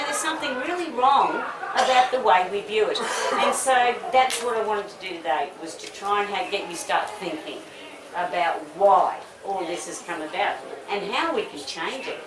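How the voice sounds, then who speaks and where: A woman's voice: medium pitch, moderate pace, very noisy. A middle-aged Australian woman giving an informal, rambling public talk with blunt asides, taking questions from the audience. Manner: chatty, rambling, blunt, with swearing and laughs. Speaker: medical cannabis advocate giving a festival talk. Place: festival talk in front of an audience. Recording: echoey, distant mic, audience crowd audible.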